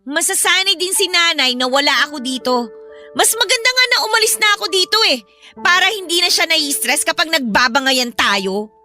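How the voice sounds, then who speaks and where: Woman acting a bright, high, defiant Filipina younger sister. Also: lively intonation, fairly quick, soft background music, heavily acted. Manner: guarded, stubborn talking back. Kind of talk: Filipino radio-style drama dialogue.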